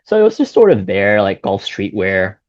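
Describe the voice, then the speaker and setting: A man's voice: lowish voice, moderate pace, dry recording, muffled recording. A man in his thirties from Singapore with an American accent, chatting casually and rambling a little as an interview guest. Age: 30s. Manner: relaxed, rambling, frequent fillers and restarts. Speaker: guest, golf shop owner.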